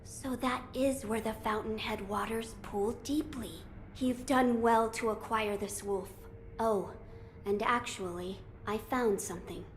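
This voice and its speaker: A woman's voice: on the high side, steady tone, measured pace, faint music behind, natural voice. A woman voicing an earnest young boy lord in a video game, formal and thoughtful. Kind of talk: video game cutscene acting.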